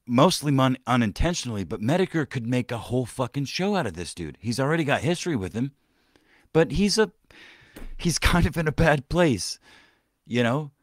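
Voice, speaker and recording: A man's voice: mid-range voice, no echo, studio quality. Rambling, profane male podcast host. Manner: rambling, profane, frequent restarts and trailing off. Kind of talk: casual profane commentary.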